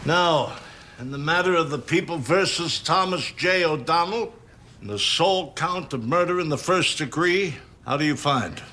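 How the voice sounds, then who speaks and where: A man's voice: fairly high pitch, lively intonation, normal speed, pushed and bright. A man playing a stern trial judge in an audio drama, speaking formally and with measure. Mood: formal, solemn. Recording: music behind the voice.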